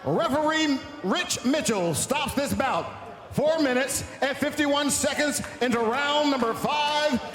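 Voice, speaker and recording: Booming high-pitched male ring announcer. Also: takes it slowly, fairly bright tone, raised voice. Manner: booming, theatrical projection. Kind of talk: formal fight announcement, drawn-out delivery. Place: MMA cage in a crowded arena. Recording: echoey arena PA with crowd noise.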